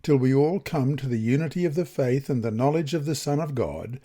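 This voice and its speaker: A man reads a scripted religious lesson in a deliberate, expressive manner with measured phrasing. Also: medium pitch. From Australia. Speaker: reader of Bible study lessons.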